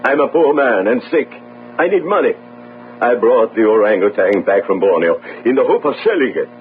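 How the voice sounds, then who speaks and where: A man's voice: medium pitch, lively intonation, normal speed, lightly acted. Guy acting anxious guilty sailor in a radio play, voice jumping up and down, thin phone-like sound with a bit of hiss. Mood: pleading, defensive. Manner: earnest, defensive, emphatic. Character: poor, guilt-ridden sailor, anxious and pleading. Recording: narrow phone-like radio audio, faint noise.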